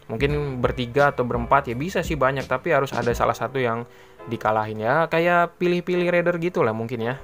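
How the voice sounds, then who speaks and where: A man gives a casual, unscripted product explanation, rambling and repeating himself with informal filler tags. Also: mid-range voice. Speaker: product reviewer and online seller. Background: background music.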